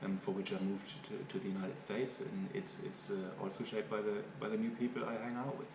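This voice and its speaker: A man from Germany with a German accent, a native German speaker, giving halting, thoughtful interview answers and often repeating words. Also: lowish voice, fairly even intonation. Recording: echoey room, far from mic, noisy.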